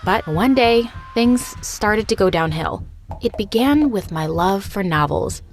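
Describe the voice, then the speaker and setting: A young woman giving a scripted first-person narration of an animated story, in an expressive, confiding storytelling manner. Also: medium pitch, measured pace, a little hiss or hum.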